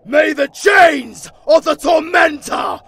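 A man playing a grim, protective demon hunter in a horror audio drama, grave and declamatory with a gravelly voice. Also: very high voice, takes it slowly, pushed and bright, no echo, raised voice, heavily acted. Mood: grim resolve.

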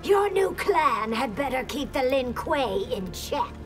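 High, slow, imperious empress. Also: female voice, sing-song, faint music behind, full character voice. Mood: commanding, regal. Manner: regal, deliberate, imperious. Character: haughty, commanding warrior empress. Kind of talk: dramatic video game dialogue.

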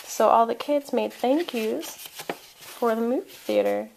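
Bubbly young woman vlogger, high bright voice, talking to a camera held at arm's length, noisy recording. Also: a little slow, gravelly. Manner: chatty, giggly, rambling. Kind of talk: casual vlog chat. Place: car, then home kitchen. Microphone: handheld camera at arm's length. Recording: noisy handheld camera audio.

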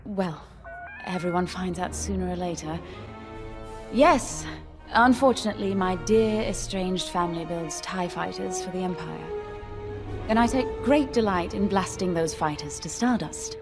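A woman's voice: lowish voice, unhurried. A woman playing a proud aristocratic young fighter pilot in a video game, poised, formal and wry. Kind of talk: video game character dialogue. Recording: music behind the voice.

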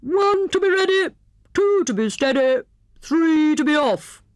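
Woman voicing an excitable, high, pompous storybook hare. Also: no room sound, studio quality. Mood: breathless excitement. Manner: exclamatory, declaiming, drawn-out delivery. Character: excitable, pompous male hare, blustering and self-important. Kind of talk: children's storybook character reading.

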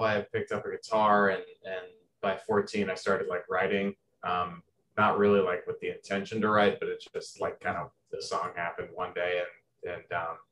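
A man's voice: low-pitched, a bit bright, a little hiss or hum, poor audio. A young man from Southern Indiana, a composer guest in a casual interview, talking hesitantly with many restarts and fillers.

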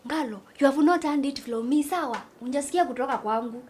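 Woman acting high-voiced gossipy Kenyan student. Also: fast, a little mellow, a little hiss or hum, natural voice. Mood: confiding. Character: gossipy young female student, coy and confiding.

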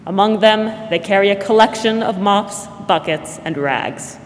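A woman's voice: medium pitch, measured pace. A young woman narrating a scripted radio drama in 1940s style as announcer on a stage. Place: large theatre stage, indoors. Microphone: stand microphone. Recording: reverberant stage hall, faint noise.

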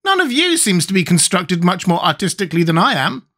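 A reader voicing a huge, gloomy winged storybook creature in a squeaky voice with formal speech. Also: big pitch swings, a little fast, fairly bright tone. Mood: careless, wry.

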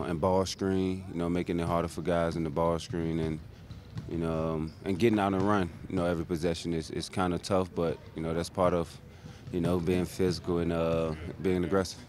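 A young man, a pro basketball player, answering reporters in a halting, laid-back way with many fillers, in an empty arena. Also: low-pitched, a little mellow. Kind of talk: media scrum answers. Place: empty arena seats, indoors. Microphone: handheld reporter mics held close.

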